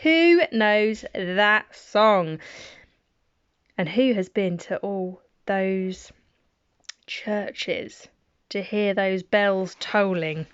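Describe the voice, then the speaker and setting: A middle-aged woman, reading nursery rhymes aloud as a bedtime story, with gentle chatty asides. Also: mid-range voice, extremely slow, clean tone, dry recording, lo-fi sound.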